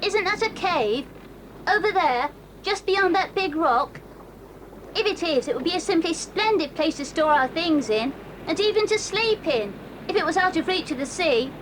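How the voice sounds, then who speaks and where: Woman playing an eager schoolboy, really high, lots of tape hiss. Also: lively intonation, fairly bright tone, rough recording. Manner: keen, quick to exclaim. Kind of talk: 1980s audio drama acting. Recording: hissy old cassette tape.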